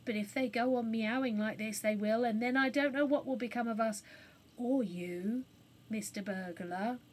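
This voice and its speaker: A woman's voice: on the high side, moderate pace, a little mellow, close to an everyday voice. A woman voicing a kind, bold little girl in a children's storybook, speaking in an earnest, polite, coaxing way. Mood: earnest, urging.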